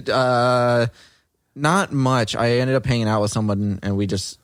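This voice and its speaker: A man's voice: mid-range voice, takes it slowly, smooth, dry recording, studio quality. A man co-hosting a podcast in casual chat, with a laid-back, slangy way of talking. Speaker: podcast co-host.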